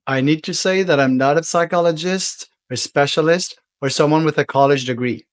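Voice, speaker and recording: A French Canadian man, a native French speaker, hosting his own podcast in an earnest, heartfelt monologue that slows down for emphasis. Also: on the high side, dry recording, clean studio sound. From Quebec, Canada. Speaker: podcast host.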